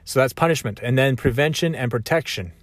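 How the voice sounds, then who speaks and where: A man's voice: mid-range voice, brisk, no room sound. A man talking reflectively in a conversational, self-correcting way. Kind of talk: reflective solo talk.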